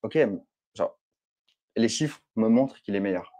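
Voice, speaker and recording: Mellow, hesitant Frenchman. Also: fairly low pitch, lively intonation, unhurried. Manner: hesitant, filler-heavy, "genre" slang. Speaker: podcast co-host, publishing founder. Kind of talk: casual business chat.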